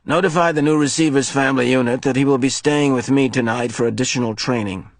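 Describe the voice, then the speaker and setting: A man voicing a calm, firm old mentor who speaks measured and quietly rueful in an audiobook. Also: medium pitch, no room sound, close to an everyday voice. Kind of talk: audiobook character voice.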